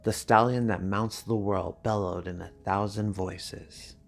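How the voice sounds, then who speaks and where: A man narrating a story dramatically, with a gravelly voice and a measured, theatrical delivery. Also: lowish voice, steady tone, faint music behind.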